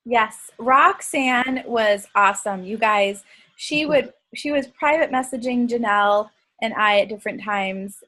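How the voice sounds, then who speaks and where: A woman's voice: medium pitch, a bit bright. A woman hosting a team video call, chatting in an upbeat way and praising members. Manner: upbeat, praising, quick asides. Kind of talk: encouraging team call chat.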